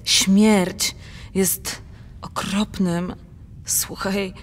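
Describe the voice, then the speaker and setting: An actress playing a passionate, fatalistic countess in a radio play of dramatic verse, intense and theatrical. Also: female voice, mid-range voice, flat delivery, very slow, in a large hall. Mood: urging.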